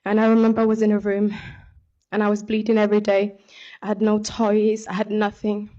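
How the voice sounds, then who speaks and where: A young woman from Somalia giving an earnest, measured speech as a pageant contestant from a podium microphone in a large hall. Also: mid-range voice, completely flat delivery, dark tone, muffled recording. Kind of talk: prepared stage speech. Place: large indoor hall on stage. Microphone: podium microphone on stand.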